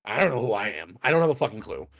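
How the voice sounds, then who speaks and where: A man's voice: on the low side, big pitch swings, rapid-fire, fairly bright tone. A man in his thirties co-hosting a podcast in casual chat, rambling and profane, often laughing at himself. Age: 30s. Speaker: podcast co-host.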